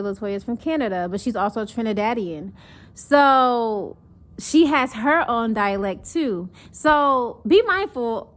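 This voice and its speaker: A young woman from North Carolina with a Southern accent mixed with northern speech, chatting casually and animatedly to camera as a vlogger. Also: fairly high pitch. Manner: chatty, animated, acts out mocking quotes.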